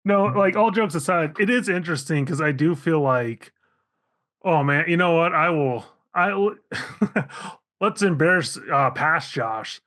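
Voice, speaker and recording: A man's voice: a little high, animated, fairly bright tone. A male guest in a casual group chat who teases the others and asks them questions. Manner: teasing, quick to laugh, asks the others questions. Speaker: movie club guest.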